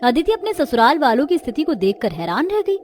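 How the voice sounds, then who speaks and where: Female story narrator, fairly high voice, scripted dramatic storytelling, cartoon style, faint background music. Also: sing-song, brisk, a little mellow.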